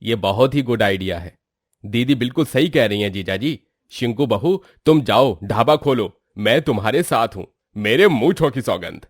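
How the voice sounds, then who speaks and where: A man's voice: medium pitch, expressive, normal speed, no room sound, clean studio sound, natural voice. A man voicing an earnest, encouraging young family man in a story cartoon, mixing English words into his talk. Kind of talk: moral story cartoon voice acting.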